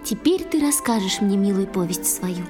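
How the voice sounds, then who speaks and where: An actress playing a tender, jealous young water sprite, speaking expressive verse in a children's audio play. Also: female voice, mid-range voice, moderate pace, lightly acted. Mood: tender curiosity. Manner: expressive, dramatic. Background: faint music.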